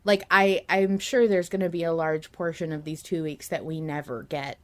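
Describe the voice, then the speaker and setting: A woman's voice: deep voice, fairly even intonation, normal speed. A woman hosting a podcast, chatting casually in earnest, emphatic, run-on thoughts about a story she follows as a fan. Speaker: podcast host. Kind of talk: casual fan discussion of an audio drama.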